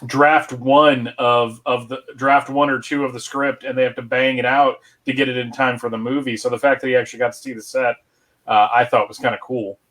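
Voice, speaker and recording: Stop-start man explaining, medium pitch. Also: level tone, brisk, fairly bright tone. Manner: stop-start, searching for words. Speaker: panelist. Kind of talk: casual explanatory chat. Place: remote online panel recorded at home.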